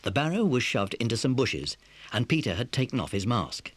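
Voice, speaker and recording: A man's voice: mid-range voice, big pitch swings, fairly quick, breathy edge. A man narrating a scripted children's story as the narrator of an audio dramatisation.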